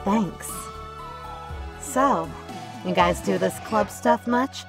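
An unhurried, creaky-edged voice a woman acting for a sassy robot agent in a cartoon. She is flirty and cheeky, with music clearly playing behind her. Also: medium pitch, expressive, exaggerated voice. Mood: flirty, teasing. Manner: teasing, cheeky. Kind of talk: animated sci-fi character acting.

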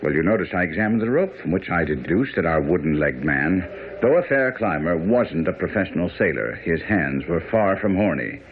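A man's voice: low voice, animated, fairly quick. A man acting as a self-assured master detective in a radio drama, precise, commanding and didactic. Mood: brisk, instructive. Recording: muffled, noisy radio broadcast.

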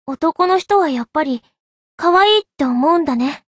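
A woman's voice: sits high, animated, normal speed, fairly bright tone, no echo, studio quality. A woman playing an earnest, innocent teenage girl in an anime game, sweet and sincere. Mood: curious, shy. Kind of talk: Japanese anime game voice acting.

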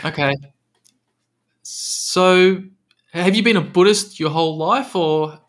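A man's voice: high-pitched, slow, a bit bright. A young man hosting an interview, asking casual questions in a hesitant way with many fillers, on a headset in a small room. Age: young adult. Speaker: podcast host.

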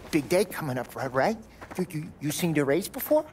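A man's voice: fairly high pitch, very animated, fairly quick. A nervous mob mechanic who stutters heavily on his words. He is eager and helpful, speaking in a video game scene with faint noise behind him. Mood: anxious, eager. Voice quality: heavy stutter. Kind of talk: cinematic video game dialogue.

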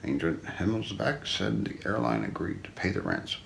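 A middle-aged man narrates a mystery in an ASMR documentary style. His voice is very low and creaky, with intonation that swings widely from phrase to phrase. He keeps a measured, solemn storytelling delivery. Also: wildly swooping pitch, a bit bright, slight background noise. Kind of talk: ASMR scripted narration, true-crime documentary role play. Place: small indoor room.

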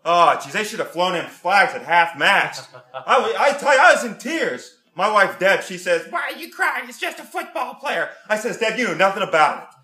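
A brash middle-aged football fan, as a man plays him for a comedy sketch. He gushes and rambles in a high, bright voice, scattering "oh jeez" asides. The sound is roomy and echoey. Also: sing-song, normal speed, exaggerated voice. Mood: gushing fan. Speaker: sketch interviewer.

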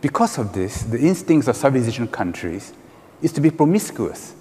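A man on a conference panel, arguing his points in a measured, emphatic way with hand gestures. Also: mid-range voice, sing-song, mellow. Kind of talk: panel discussion. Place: large conference hall stage. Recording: reverberant conference hall.

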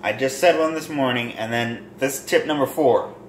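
A man in his twenties casually demonstrating home cooking to camera in a halting, rambling, self-deprecating way. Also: medium pitch. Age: 20s. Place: small home kitchen and dining room. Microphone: handheld or propped phone near arm's length. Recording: roomy echo, kitchen noise with sizzling.

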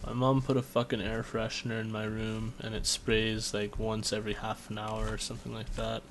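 A man's voice: lowish voice, monotone, brisk, fairly bright tone, slight background noise. A young man casually commentating on a game from his bedroom, offhand and self-deprecating. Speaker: let's play commentator. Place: bedroom at home.

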